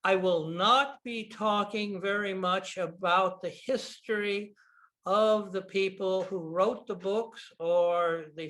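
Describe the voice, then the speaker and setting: An older American man giving a slide presentation over a video call, speaking deliberately with frequent pauses and fillers. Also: high-pitched, a bit bright. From United States. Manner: deliberate, with frequent ums and uhs. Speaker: guest speaker, bookshop owner.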